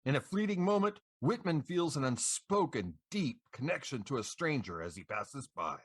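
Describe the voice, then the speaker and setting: A man's voice: medium pitch, very animated, normal speed. An American man co-hosting a show, delivering scripted, playful DJ-style banter and introductions. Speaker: podcast co-host.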